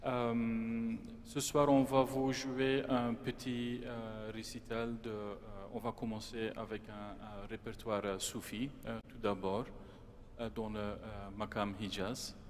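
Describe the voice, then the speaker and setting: A Dutch-speaking man from Belgium, speaking French with a Flemish accent, introduces his music at a concert, hesitating with many drawn-out fillers. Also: on the low side, little pitch movement. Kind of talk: halting spoken introduction at a concert. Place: large church. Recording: long church reverb, faint room hum.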